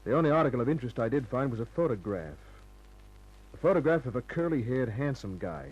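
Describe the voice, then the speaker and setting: An actor playing a hard-boiled, cynical private detective in a 1950s radio crime drama, tough and clipped in delivery. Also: male voice, medium pitch, sing-song, fast, slightly rough, muffled recording. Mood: brisk narration.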